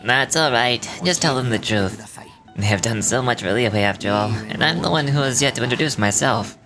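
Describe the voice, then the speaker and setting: A modest old gentleman, voiced by an actor, speaks gently and without hurry. His voice sits in a middle register, and game music plays steadily beneath him. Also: mid-range voice, lively intonation, natural voice. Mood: courteous. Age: older. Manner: gentle, unhurried, self-effacing. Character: genial elderly male official, modest and fond of fishing. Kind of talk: game voice acting.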